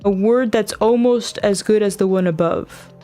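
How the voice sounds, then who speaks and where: A woman's voice: medium pitch, moderate pace, dark tone, soft background music. A woman giving casual live commentary as a game streamer, exasperated and prone to mild swearing.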